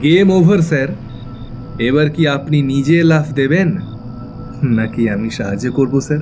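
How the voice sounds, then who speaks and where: A man plays a vengeful young man in a thriller drama. His medium-pitched voice stays controlled and mock-polite, full of taunting menace. Loud dramatic music plays underneath. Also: a little slow, close to an everyday voice. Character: cold, taunting young avenger of his dead father. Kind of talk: dramatic audio thriller acting.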